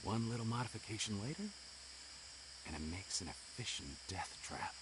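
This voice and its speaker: A man's voice: fairly low pitch, expressive, normal speed, background noise, natural voice. A man voicing a scheming, mocking criminal villain in a video game, theatrical and gloating in his delivery. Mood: gloating, sneering. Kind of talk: scripted video game dialogue.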